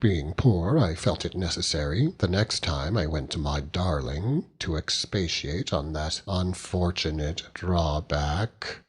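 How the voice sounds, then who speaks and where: A man narrating a classic novel in the first person, with a theatrical, wry and deliberate delivery. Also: low-pitched, husky. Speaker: audiobook narrator.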